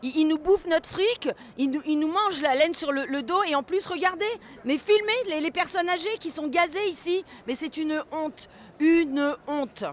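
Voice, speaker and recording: A woman's voice: high voice, normal speed. A woman speaking as an outraged protester in a street interview, colloquial and repeating her words. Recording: street noise at a demonstration.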